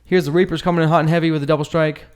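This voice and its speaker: A man's voice: fairly high pitch, level tone, rapid-fire, clean tone. A man hosting a gaming channel, giving casual commentary as he analyses a battle, with informal, slangy, joking asides.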